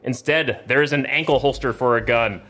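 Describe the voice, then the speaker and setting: A man's voice: medium pitch, normal speed, pushed and bright. A man narrating an amateur comedic script reading and casually prompting the other readers.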